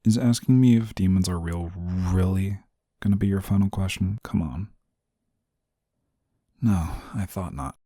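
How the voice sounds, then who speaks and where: A man playing an exasperated, rule-bound but soft-hearted guardian angel in a scripted audio roleplay, scolding in a weary, formal way. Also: sits low, measured pace, pauses often, dry recording, studio quality. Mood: stern, grudging. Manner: weary, scolding, formal wording, sighs often.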